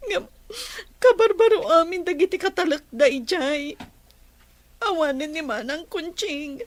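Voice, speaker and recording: A woman's voice: extremely high pitch, measured pace. A woman playing a heartbroken young daughter in a radio drama, plaintive and tearful, with a Filipino accent. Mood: tearful, pleading.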